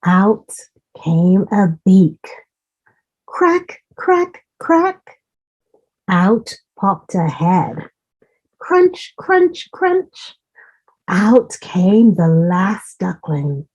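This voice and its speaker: Very slow, mellow woman reading to children. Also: medium pitch, slightly rough, lo-fi sound. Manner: deliberate, expressive, questioning young listeners. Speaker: storyteller for children. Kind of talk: children's story reading with asides to listeners.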